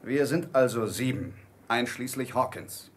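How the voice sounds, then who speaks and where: An actor playing a decisive, level-headed ship's captain in a radio play, speaking firmly and methodically. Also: male voice, mid-range voice, a little slow, slight background noise, natural voice. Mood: grave, resolute. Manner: firm, methodical, commanding.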